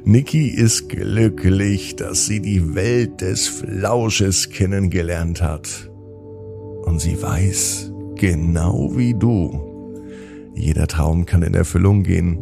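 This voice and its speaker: A man's voice: deep voice, slow pace, gravelly, music in the background. A man narrating a children's bedtime story in a soothing manner, drawing out words for emphasis.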